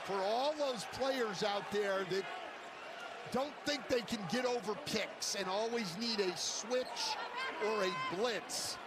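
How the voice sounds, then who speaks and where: A man's voice: sits high, takes it slowly. A man giving live analysis of a basketball game as a commentator. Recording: very noisy arena broadcast. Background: loud noise, bouncing basketball.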